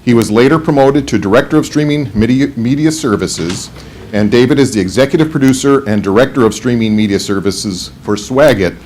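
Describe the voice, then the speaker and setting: A man's voice: medium pitch, a little mellow, slight background noise. A man moderating a conference panel, reading out speaker introductions with some fillers. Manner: formal introductions with frequent fillers. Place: conference room.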